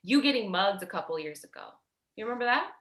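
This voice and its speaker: An actress playing a tired, protective, foul-mouthed older sister in a naturalistic staged play reading, hesitant, guarded and blunt. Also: female voice, mid-range voice, expressive, quick pace, a bit bright, roomy sound, close to an everyday voice. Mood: defensive, irritated.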